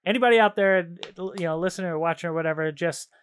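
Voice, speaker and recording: A man's voice: high voice, fast talker, clean tone, dry recording, clean studio sound. A man hosting a video game podcast, chatting casually and enthusiastically in informal slang. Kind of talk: casual chat about video games.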